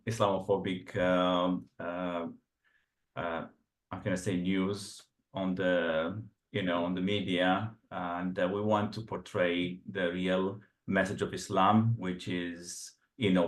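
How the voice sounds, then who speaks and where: Deep, flat-voiced man in an echoey room. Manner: hesitant, many fillers. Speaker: channel co-creator. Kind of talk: casual self-introduction.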